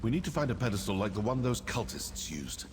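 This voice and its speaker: A man playing a proud, cynical assassin in a video game, speaking in a dry, deliberate, menacing way. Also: on the low side, sing-song. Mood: dry, wary. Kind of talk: scripted video game character dialogue. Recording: long reverb, clear background noise. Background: game ambience.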